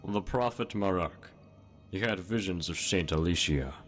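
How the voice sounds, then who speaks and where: An actor playing an ancient, world-weary demon lord in a video game, sneering and commanding. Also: male voice, low-pitched, normal speed, lightly acted. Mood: mocking, reminiscing. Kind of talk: dramatic video game acting. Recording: music behind the voice.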